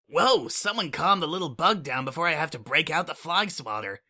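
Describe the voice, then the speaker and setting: Brief: a man doing a cocky mare secret agent for a storybook reading, raised bright voice, breezy and mocking. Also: fairly high pitch, animated, normal speed, pitched above the performer's own voice, heavily acted. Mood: smug. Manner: flippant, casual and mocking. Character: brash, irreverent mare spy, smug and flippant. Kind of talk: fan dramatic audiobook reading.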